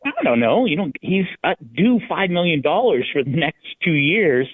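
A male hockey analyst talking on sports radio in a halting, conversational way, restarting phrases as he thinks aloud. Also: fairly high pitch, sing-song, slight background noise. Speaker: sports writer, guest analyst. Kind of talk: hockey talk radio discussion. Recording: thin, phone-like line.

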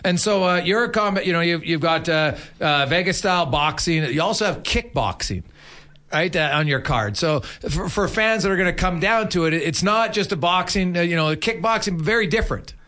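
A man with a bright, somewhat high voice hosts a casual sports interview. His questions are conversational and wandering, often reworked as he goes. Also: normal speed. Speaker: sports show host, interviewer.

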